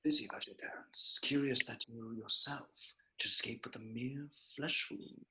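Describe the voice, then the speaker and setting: A man playing a menacing aristocratic wizard, coolly polite and deliberate, with a gravelly voice, in a script table read. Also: mid-range voice, steady tone, sharp tone, low-quality recording. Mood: silky menace.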